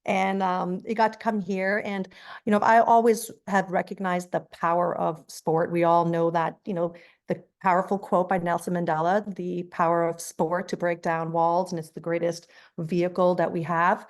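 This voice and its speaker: A woman with a lowish voice gives an earnest, impassioned introduction on a video call. She speaks as a non-profit leader, steady and heartfelt. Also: moderate pace. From Afghanistan. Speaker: non-profit executive director. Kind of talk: panel introduction, personal story.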